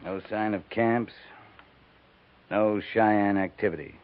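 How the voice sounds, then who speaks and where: An actor playing a steady, gruffly kind, blunt cavalry captain with an American accent and a terse, measured delivery in a 1950s radio western. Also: male voice, on the low side, slight background noise. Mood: grave. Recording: narrow, tinny 1950s radio recording.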